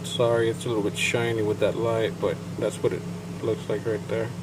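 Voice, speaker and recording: A man's voice: lowish voice, level tone, normal speed, background noise. A man with a Chicano Mexican-American accent, bilingual, casually walking through a technical setup as a certified technician, rambling with fillers and asides. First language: bilingual English and Spanish. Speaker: certified payment terminal technician. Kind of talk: casual how-to demonstration.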